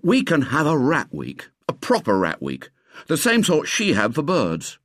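Man acting headstrong schoolboy, raised pitch, swooping intonation, indignant, emphatic, storybook character. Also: wildly swooping pitch, unhurried, a bit bright, no echo, clean studio sound, full character voice. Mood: determined.

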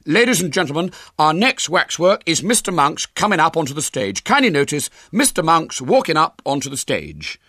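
Man voicing a high-pitched, pompous schoolboy showman. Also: very animated, moderate pace, few pauses, no echo, clean studio sound, full character voice. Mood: showman bravado. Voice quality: hoarse when shouting. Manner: declamatory, stern, ungrammatical schoolboy speech. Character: pompous, indignant schoolboy playing showman, earnest and dignified.

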